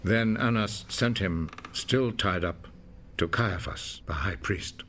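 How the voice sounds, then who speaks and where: A man narrating scripture for a film in a measured, solemn storytelling manner. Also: deep voice, fairly bright tone, slight background noise. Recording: film score behind the voice. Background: music.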